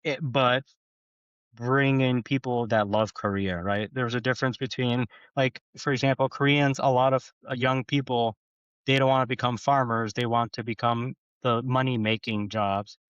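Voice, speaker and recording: A man's voice: medium pitch, fairly even intonation, moderate pace, fairly bright tone, clear, resonant tone, no room sound, highs cut off. A man from the United States, a podcast guest talking in a casual, opinionated way and often checking in with "right?". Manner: opinionated, frequent "right?" tags. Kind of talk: casual conversation.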